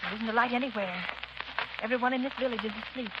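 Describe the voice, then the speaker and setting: An actress playing an earnest, anxious young heroine with dramatic concern in a 1930s radio drama. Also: female voice, fairly high pitch, fast, natural voice. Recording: muffled, crackling 1930s radio recording.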